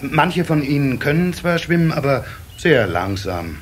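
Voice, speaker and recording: A man's voice: fairly high pitch, big pitch swings, a little slow. An actor playing a patient, protective water sprite father in a children's radio play, explaining things with small hesitant stammers. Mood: cautious, explaining. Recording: slightly hissy old cassette recording.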